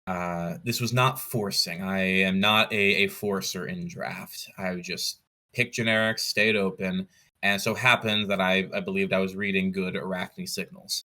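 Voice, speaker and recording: A man being interviewed in a casual storytelling style, picking his words haltingly and correcting himself as he explains details with keen interest. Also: low voice, fairly even intonation, bright, forceful tone. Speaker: podcast guest, competitive card game player.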